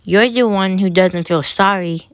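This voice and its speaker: A woman's voice: medium pitch, measured pace, dry recording, natural voice. A woman voicing an earnest, hurt little cartoon boy who speaks in a pleading, hesitant way. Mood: hurt, exasperated.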